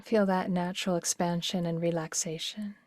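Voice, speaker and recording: A woman leading a guided meditation in a gentle, unhurried, soothing way, with phrases that soften into breathiness. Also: on the low side, steady tone, no room sound.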